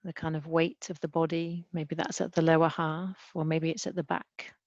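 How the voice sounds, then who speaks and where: Low, gravelly woman guiding a meditation. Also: little pitch movement, dry recording. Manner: gentle, unhurried, with long pauses. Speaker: mindfulness meditation teacher. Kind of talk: guided body scan meditation. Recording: dull, muffled lo-fi sound.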